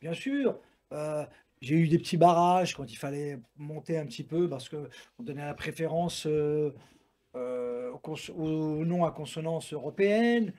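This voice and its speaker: A man's voice: a little high, measured pace, darkish tone. A man in his sixties from France, a boxer and coach speaking frankly and emphatically in a talk-show conversation. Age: 60s. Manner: frank, emphatic, repeats himself for weight. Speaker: Muay Thai boxer and coach.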